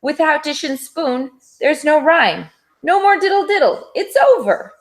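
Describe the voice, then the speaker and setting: A woman's voice: high voice, very animated, unhurried, pitched above the performer's own voice, exaggerated voice. Woman as a dramatic, swooping, bossy storybook cat. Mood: dramatic, exasperated. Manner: insistent, dramatic, urgent.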